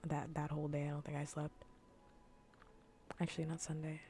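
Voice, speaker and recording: A woman hosting a livestream, chatting casually in a soft, breathy, whispery ASMR voice and rambling with dry humour. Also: deep voice, monotone, moderate pace, faint music behind. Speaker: livestream host. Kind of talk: casual ASMR stream chat.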